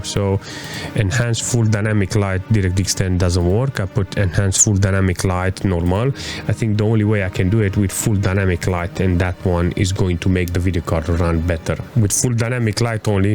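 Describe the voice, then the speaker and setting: Lowish voiced guy rambling through game benchmarks, uh and you know a lot, faint room hiss. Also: a little mellow. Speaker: hardware reviewer. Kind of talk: casual gameplay benchmark commentary. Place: small room at home, gaming chair. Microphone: desk mic with headset, webcam framing.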